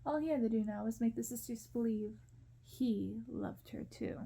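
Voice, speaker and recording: A woman reading a story aloud as ASMR narration, in a soft, hushed storytelling manner. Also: a little high, moderate pace, vocal fry, breathy edge. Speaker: ASMR reader.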